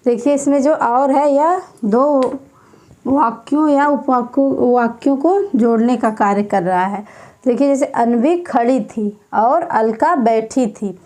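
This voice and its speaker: A woman teacher giving an exam-prep grammar lesson, dictating slowly and repeating each term several times. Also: on the high side, dark tone.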